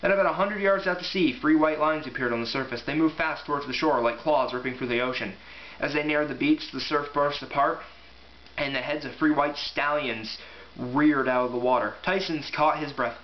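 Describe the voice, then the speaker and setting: A man talking casually and reading aloud in an informal, offhand way. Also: medium pitch. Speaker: amateur book reviewer and reader. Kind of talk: casual rambling review and book read-aloud. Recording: echoey room, muffled, faint hiss.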